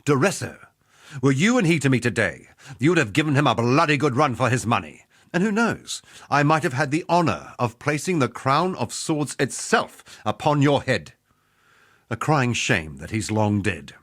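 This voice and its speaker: Male game mercenary, middle-aged weapon master, cocky, jovial, wry, roguish, highly animated intonation. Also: normal speed. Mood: grudging admiration. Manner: roguish, wry, boastful, salty fighter's banter. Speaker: video game character. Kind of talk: scripted game character dialogue.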